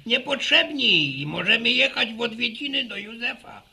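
A muddled old country grandfather in a radio drama. The voice is high for a man, very gravelly and piercingly bright. He stammers and repeats himself as he fusses, swinging widely in pitch. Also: lively intonation, moderate pace, heavily acted. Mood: fussing, curious. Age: older. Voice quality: creaky, gravelly. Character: garrulous, muddled old village grandfather fussing over his mare. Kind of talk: Polish radio serial acting, rural family drama.

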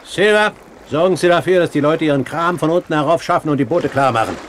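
An actor playing a commanding pirate captain in a radio play, courtly, measured and sardonic in manner. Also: male voice, fairly high pitch, natural voice. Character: suave, commanding pirate captain and great-uncle. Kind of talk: German radio play acting. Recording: faint background noise.

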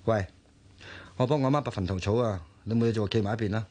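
A man's voice: lowish voice, quick pace, lightly acted. An actor playing an exasperated, bickering but easygoing young man in a radio drama, with a Hong Kong Cantonese accent. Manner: bickering, animated. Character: exasperated, grumbling, easygoing young male buddy.

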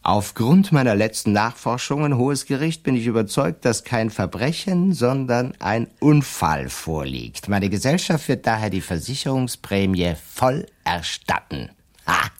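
An actor playing a shrewd, smug, greedy lawyer in a German radio drama, with a theatrical, self-satisfied way of telling things. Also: male voice, medium pitch, big pitch swings, unhurried. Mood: mock-formal.

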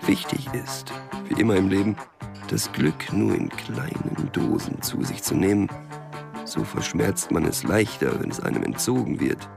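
An actor playing a wry, weary small-time drug dealer in a German crime radio play, muttering drily in a gravelly voice. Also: male voice, lowish voice, big pitch swings, normal speed, music in the background, heavily acted. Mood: wry, resigned. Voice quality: gravelly, creaky.

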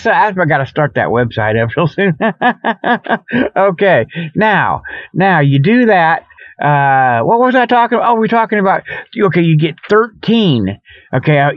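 A man with a notably high voice rambles through a comic radio sales pitch. His patter is folksy and repetitive, like a huckster working the folks at home. The sound is close and dry, slightly dull and lo-fi. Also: no echo. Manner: folksy huckster patter, repetitive, chuckles often at own jokes. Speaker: host of a comedic radio report.

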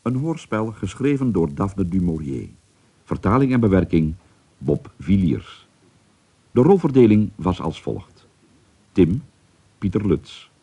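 A male radio drama announcer reads credits formally. His voice is very low, dark and husky, and he takes his time over each scripted phrase. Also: very animated, unhurried, long pauses, highs cut off.

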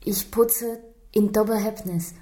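A woman's voice: medium pitch, fairly even intonation, slow pace, dark tone, lightly acted. An actress playing a reticent Chinese cleaning woman with a Chinese accent, answering in short broken phrases in a radio crime drama. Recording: echoey room.

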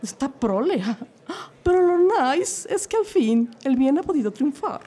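A woman's voice: high voice, sing-song, a little slow, mellow. Woman playing a snobbish giggling posh girl. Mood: mocking. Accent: Mexico City. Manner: drawling, peppered with English words, giggling. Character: snobbish posh young woman, mocking and haughty. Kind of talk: comedic radio play acting.

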